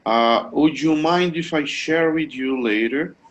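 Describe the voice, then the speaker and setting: A Brazilian man, a native Portuguese speaker, asking a question on a video call, halting with many fillers and restarts. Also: fairly high pitch, dull audio. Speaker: webinar attendee asking a question.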